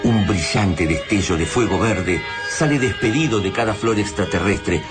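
Radio drama narrator, played by a man: Argentine, low register, solemn and measured, over prominent music. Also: natural voice. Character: solemn male narrator of an alien invasion tale.

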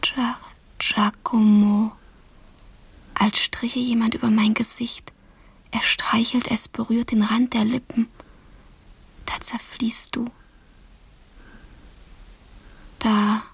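Audio drama casting: lovestruck, demanding young girl, very breathy, fairly high, recorded close and dry. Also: level tone, dry recording, full character voice. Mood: tender, entranced. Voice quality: breathy, whispery. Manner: intense, dreamy, drawn-out phrasing. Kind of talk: poetic radio drama acting.

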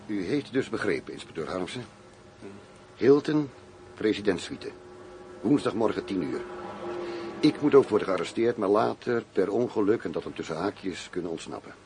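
A steely police commissioner, lowish voice, measured, radio drama w/ faint hiss. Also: male voice, lively intonation. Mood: businesslike. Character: determined foreign police commissioner working undercover, male. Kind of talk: radio play acting. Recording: faint noise, radio play recording.

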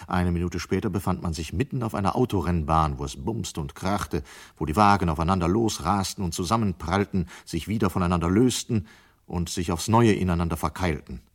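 A man with a very low-pitched voice narrates a radio play. He reads scripted literary prose as the story's narrator.

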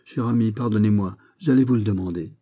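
A man's voice: on the low side, a little fast, dark tone, lightly acted. A man playing an anguished young nobleman in a historical audiobook, earnest, polite and melodramatic as he pleads. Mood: dejected. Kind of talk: dramatised audiobook of a historical novel.

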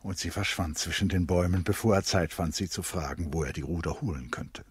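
Very deep-voiced male narrator, literary radio drama. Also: husky. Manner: measured, contemplative. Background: plucked string music.

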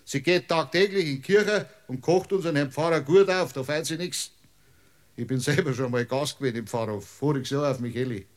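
A folksy Bavarian village mayor in a radio drama , played by a male actor, with a slightly high-set male voice. He rambles as a witness, evasive and stubbornly literal, circling around every point in broad regional speech. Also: brisk, natural voice. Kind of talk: radio play acting.

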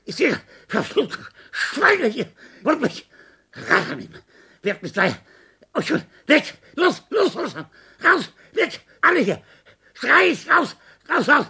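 A woman's voice: high-pitched, sing-song, slow, pauses often, sharp tone, full character voice. An actress playing a delirious, terrified woman who is suffocating in a fever, gasping out broken, pleading phrases over and over. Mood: panicked. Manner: pleading, panicked, repeating words. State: out of breath. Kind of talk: dramatic acting.

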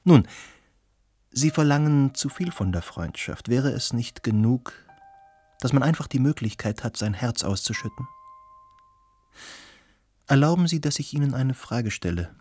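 An actor playing an eloquent, worldly and uncanny gentleman in a radio play, speaking formally and musingly in a husky voice. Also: mid-range voice, animated, a little slow, long pauses. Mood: earnest, reflective.

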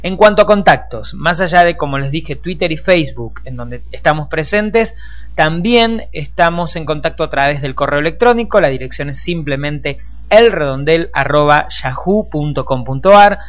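A man's voice: fairly high pitch, lively intonation, moderate pace. An Argentine man presenting a radio programme in a conversational, discursive way, speaking directly to listeners. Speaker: radio host and journalist.

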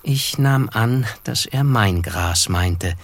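A man playing a reflective writer who narrates a literary radio play in a measured way. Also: lowish voice, animated, vocal fry, exaggerated voice. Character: wry, reflective male narrator, a struggling writer.

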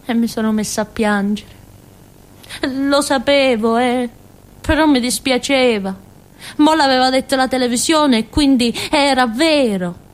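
An actress playing a naive, stubborn village fool in a theatrical radio monologue, with a childlike, rambling, emphatic way of talking. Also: female voice, high-pitched, unhurried. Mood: wistful, confiding.

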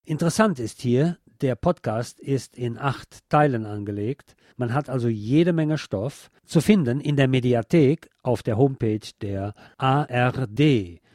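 A man's voice: mid-range voice, unhurried, few pauses, darkish tone, no echo. A man hosting a radio show, presenting informally in a conversational, recommending way on a close microphone.

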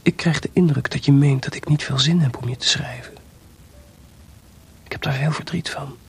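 A man's voice: medium pitch, normal speed, husky. A man playing a composer in a radio play, voicing weary, tender and bitter letters in an intimate, reflective way. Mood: hurt, tender. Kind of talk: radio play monologue, letters read aloud.

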